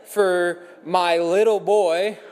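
A man's voice: high voice, fairly even intonation, extremely slow, heavy background noise. A man reading a Bible story aloud from a script as a dramatic narrative. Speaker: scripture reader. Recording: echoey hall with long reverb.

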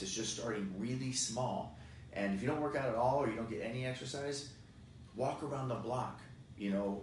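Deep-voiced man in an echoey room. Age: 40s. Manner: energetic, emphatic, many fillers. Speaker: fitness guest expert. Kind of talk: casual podcast chat. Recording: echoey room, distant mic, faint noise.

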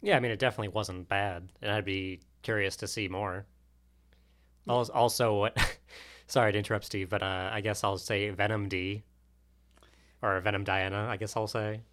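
Man, lowish pitch, casual conversational podcast host. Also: fairly even intonation, moderate pace, fairly bright tone. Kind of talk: casual chat.

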